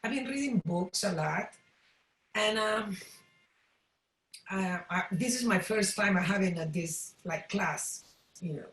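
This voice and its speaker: A woman with a Salvadoran Spanish accent shares her thoughts in a group class. Her English is halting and her tone appreciative, and the call sounds roomy and echoey. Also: medium pitch, a bit bright. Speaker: class participant. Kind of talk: casual personal sharing in a group class. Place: room, on an online video call.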